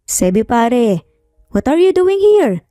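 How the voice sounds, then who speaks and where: A woman storyteller plays a knowing, amused Filipino boss in an audio romance. He sounds jovial and mellow, close-miked over background music. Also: fairly high pitch, very animated, slow, no echo. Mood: surprised.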